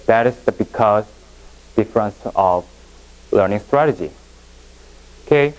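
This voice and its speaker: A man from Korea with a Korean accent, a non-native English speaker giving a classroom presentation in a halting way, often restarting his sentences. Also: on the low side, lively intonation, a little mellow, poor audio. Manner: halting, with frequent restarts and second-language phrasing.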